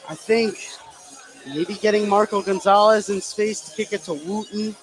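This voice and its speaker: A man with a high voice gives color commentary at a basketball game. He is analytical and often poses questions to his broadcast partner. Music plays clearly behind him. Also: normal speed, poor audio. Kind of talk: live sports analysis.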